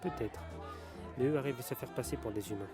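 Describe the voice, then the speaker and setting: Man playing a fast-talking, secretive conspiracy theorist. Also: mid-range voice, lightly acted. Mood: grave, convinced. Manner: earnest, urgent explaining. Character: secretive, insistent male conspiracy theorist stranger in a bar. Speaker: actor in an audio drama. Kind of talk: dramatic audio drama acting. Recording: music and bar chatter behind.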